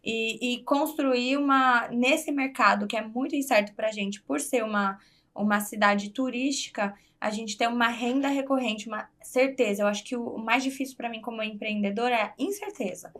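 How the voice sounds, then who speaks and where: A woman's voice: on the high side, normal speed, a bit bright. A woman from Brazil, a guest in a casual interview, talking candidly and confidently with plenty of fillers. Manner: candid, self-assured, chatty with fillers. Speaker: guest, entrepreneur.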